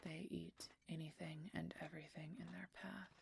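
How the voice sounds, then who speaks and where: A woman's voice: low-pitched, flat delivery, normal speed, vocal fry, a little hiss or hum. A woman playing a guarded, brooding female werewolf knight in a fantasy audio roleplay, terse and often murmuring in a whisper. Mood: guarded, wry.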